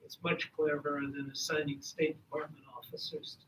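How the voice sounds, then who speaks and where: A man speaking on a policy panel in a measured way with dry humor. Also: a little high, level tone, fairly bright tone. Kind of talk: panel discussion. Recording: distant mic, faint room noise.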